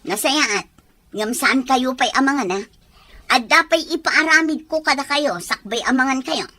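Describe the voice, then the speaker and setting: A woman's voice: high-pitched, moderate pace, bright, forceful tone, dry recording. A woman acting a harsh, bossy aunt in a drama, scolding and commanding. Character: harsh, bossy aunt resentful of orphaned relatives. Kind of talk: Ilocano radio drama acting.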